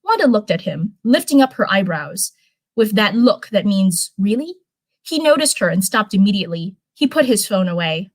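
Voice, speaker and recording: A woman's voice: medium pitch, big pitch swings, normal speed. A woman hosting a live stream in an informal, chatty way, talking to viewers and reading fan fiction aloud. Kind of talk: casual livestream chat and live story reading.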